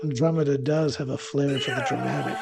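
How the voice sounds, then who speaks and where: A man telling a scripted horror story in the first person, in a theatrical, deliberate way. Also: medium pitch, steady tone, creaky.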